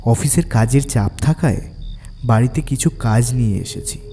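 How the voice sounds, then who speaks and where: A man's voice: mid-range voice, moderate pace. A man narrating a scripted first-person horror story in a suspenseful, dramatic way. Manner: suspenseful, expressive storytelling delivery. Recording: long reverb, faint music bed.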